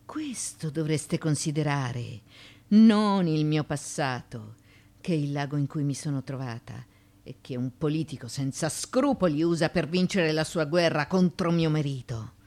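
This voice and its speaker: An actress in a theatrical radio drama playing a proud, defiant former slave and courtesan who addresses judges in a declamatory, deliberate way. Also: female voice, sits low. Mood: solemn pleading. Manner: declamatory, deliberate, shifting between hushed and forceful.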